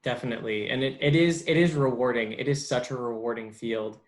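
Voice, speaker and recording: A young adult man as an interview guest, talking casually with many pauses and restarts. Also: mid-range voice. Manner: halting, lots of ums and restarts. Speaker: interview guest, bilingual speech therapist.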